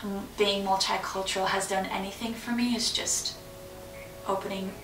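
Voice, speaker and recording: A woman's voice: mid-range voice, steady tone, normal speed. A young woman from Uzbekistan speaks reflectively and earnestly about her experience as an immigrant student. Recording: roomy, distant mic under loud music.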